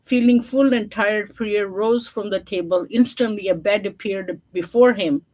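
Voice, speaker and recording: A woman reads a children's picture book aloud at a slow, unhurried pace. She moves between narration and casual explanation, chatting to her listeners about the pictures. Her pitch sits in a middle range for a woman. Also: a little mellow, rough recording. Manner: informal, chatty asides to listeners, showing pictures. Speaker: storyteller.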